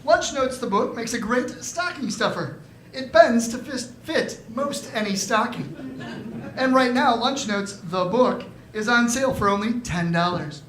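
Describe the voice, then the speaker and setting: A woman's voice: a little high, expressive, unhurried, a bit bright, slight background noise. Woman announcer in a roomy hall. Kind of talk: live radio-show announcing. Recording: roomy live stage recording, music behind.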